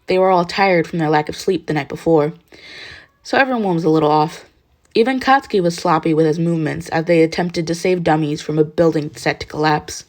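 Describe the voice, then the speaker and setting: A woman's voice: low voice, fairly quick, a little mellow. A woman reading fan fiction aloud as a podfic narrator, in scripted story narration.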